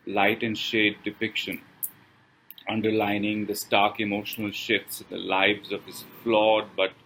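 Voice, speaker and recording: A man reading a scripted film review as presenter, with measured, halting, deliberate phrasing. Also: on the low side, pushed and bright, slight background noise, poor audio. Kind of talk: scripted read-aloud review with pauses.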